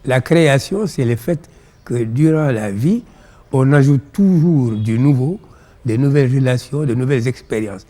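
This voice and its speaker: Mellow-voiced, didactic Senegalese man. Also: mid-range voice. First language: Pulaar. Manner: didactic, measured phrasing. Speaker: interview guest, philosophy author. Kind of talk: explanatory interview answers.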